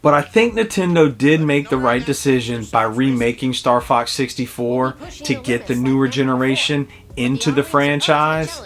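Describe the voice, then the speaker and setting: A man in his early forties giving a casual, conversational video game review in a halting, reflective way. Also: medium pitch, a bit bright. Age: early 40s.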